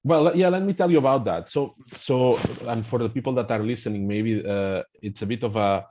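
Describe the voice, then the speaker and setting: A man's voice: mid-range voice, fairly quick, dark tone, slight background noise, rough recording. A middle-aged man with a Spanish accent, explaining things in a hesitant conversational way, full of fillers and restarts. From Spain. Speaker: language app representative, guest.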